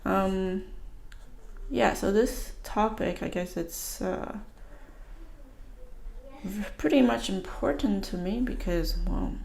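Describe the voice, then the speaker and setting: A woman from the United States hosting her own channel, talking casually and thinking aloud in a halting, musing way while she doodles. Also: mid-range voice, vocal fry, a little mellow. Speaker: channel host.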